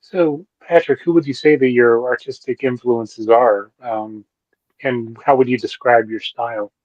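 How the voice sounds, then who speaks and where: A man's voice: medium pitch, steady tone, measured pace, a little mellow, no echo, dull audio. A man acting as interviewer, putting questions in a conversational way.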